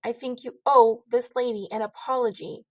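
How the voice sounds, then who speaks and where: A woman's voice: fairly high pitch, fairly even intonation, normal speed, no room sound, close to an everyday voice. Woman acting a stern, scolding storybook mother. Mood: disapproving. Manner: firm, admonishing. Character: stern, disapproving mother scolding her child. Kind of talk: children's storybook character voice.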